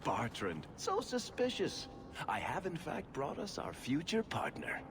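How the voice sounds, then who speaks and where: A man's voice: fairly high pitch, huge pitch swings, unhurried. A man voicing a smug, wry, teasing dwarf rogue in a video game. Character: teasing, smug male dwarf rogue, younger-brother wit. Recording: noticeable ambient background noise.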